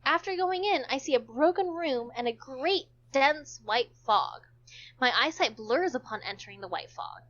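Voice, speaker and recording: High-pitched animated woman reading a visual novel aloud. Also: moderate pace, muffled recording. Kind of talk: casual read-aloud of game text with joking asides.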